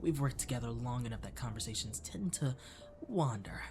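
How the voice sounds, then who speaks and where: A man's voice: mid-range voice, animated, moderate pace, noisy background, natural voice. A man playing a courteous, flirty catboy cafe waiter in an ASMR roleplay, speaking attentively and coaxingly to the listener. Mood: teasing. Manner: attentive, gently coaxing, lapsing into whispers. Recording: music behind the voice. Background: clear background music.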